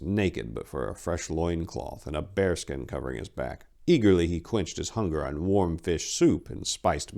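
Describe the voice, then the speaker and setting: A man's voice: deep voice, creaky, darkish tone. A man narrating a story in a dramatic, scripted reading style.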